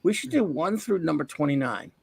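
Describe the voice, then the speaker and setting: A man's voice: fairly high pitch, sing-song, fairly quick, creaky, slightly rough, no echo. A man hosting a podcast, chatting casually about comics in a blunt, opinionated way with many restarts. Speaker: podcast host.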